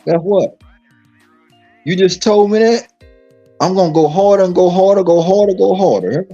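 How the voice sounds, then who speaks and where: A man's voice: sits high, lively intonation, moderate pace, warm tone, faint music behind. A man from Dallas, Texas, talking casually on a live stream, emphatic and repetitive with many restarts. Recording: dull lo-fi stream audio.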